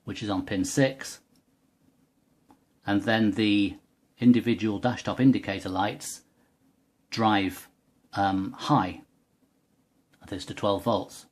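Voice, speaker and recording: A man with a fairly low voice talks through a technical tutorial. He is unscripted and methodical, taking the listener through the product one step at a time as an explainer would. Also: fairly even intonation, takes it slowly, pauses often. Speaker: product demonstrator.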